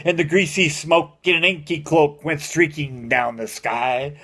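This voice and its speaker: Older male, high voice, homespun grandfatherly verse reader with a theatrical, sing-song storyteller's rhythm. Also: fairly even intonation, unhurried, bright, forceful tone. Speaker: grandfather reading a poem aloud to family. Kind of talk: dramatic amateur poem recitation.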